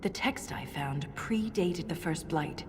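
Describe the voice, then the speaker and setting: An actress playing an earnest dwarven scholar in a video game, speaking in a measured, scholarly way. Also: female voice, fairly low pitch, noisy background. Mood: intrigued. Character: earnest female dwarven scholar exploring underground ruins. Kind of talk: video game cutscene acting. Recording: long cavernous reverb, music underneath.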